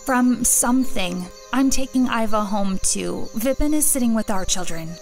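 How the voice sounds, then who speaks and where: A woman acting a calm, practical, caring elf in an audio drama. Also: on the high side, normal speed, soft background music. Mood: calm, concerned.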